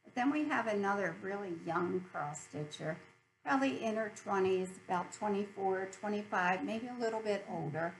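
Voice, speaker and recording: A woman's voice: medium pitch, moderate pace, rough recording. Chatty American woman, faint background hum. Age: middle-aged. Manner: chatty, cheerful. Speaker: host of a needlework video channel. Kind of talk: casual chat to viewers.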